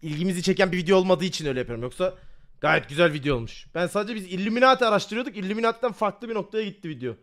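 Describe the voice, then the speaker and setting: Turkish male reaction streamer. Bright, tense high voice, slangy, incredulous delivery. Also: very fast. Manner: blunt, exasperated, incredulous. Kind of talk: casual reaction commentary with slang and swearing.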